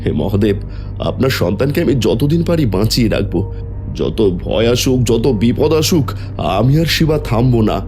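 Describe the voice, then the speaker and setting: A man's voice: mid-range voice, big pitch swings, moderate pace, darkish tone, soft background music. A man voicing an anxious, devoted uncle who guards an orphaned child in an audio story, emotional and protective. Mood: protective devotion.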